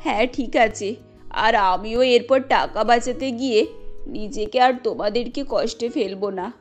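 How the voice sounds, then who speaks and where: A woman playing a high-voiced stingy elderly mother-in-law. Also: a little slow, faint music behind, close to an everyday voice. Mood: weak, remorseful. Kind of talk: cartoon dialogue acting.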